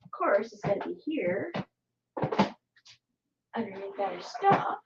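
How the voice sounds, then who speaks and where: A woman's voice: mid-range voice, very animated, takes it slowly, lo-fi sound, heavy background noise. A woman hosting an art tutorial, chatting casually in a rambling way and muttering to herself as she works. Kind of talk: casual chat while painting.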